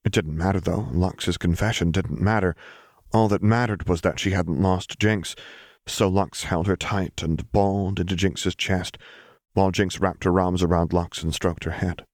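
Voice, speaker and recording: Deep-voiced man narrating fiction, close and dry. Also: steady tone, moderate pace, hardly any pauses, no echo. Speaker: audiobook narrator.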